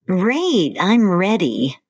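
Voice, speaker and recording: A woman co-hosting an English-learning podcast, speaking slowly and carefully in simple scripted sentences. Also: medium pitch, very animated, husky, dry recording, clean studio sound. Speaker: podcast co-host.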